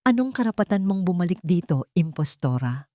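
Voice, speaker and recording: A woman's voice: big pitch swings, fairly quick, dry recording, clean studio sound. A woman voicing a scheming young woman in an audio drama, falsely innocent and vicious underneath. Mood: angry, frightened. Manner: gentle coaxing that turns to shrill outrage.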